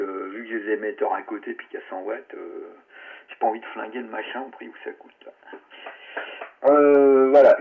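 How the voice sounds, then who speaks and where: A man's voice: lowish voice, creaky, husky. A man chatting casually as a radio operator, colloquial and salty, with muttered asides, over a narrowband transmission. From France. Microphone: radio transceiver microphone. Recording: narrowband ham radio, light noise.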